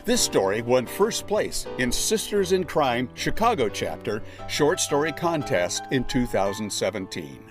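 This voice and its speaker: A man introduces a podcast episode from a script, with a lively, varied pitch in a mid-range voice. Guitar music plays behind him. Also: a little slow, hardly any pauses. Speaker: podcast announcer.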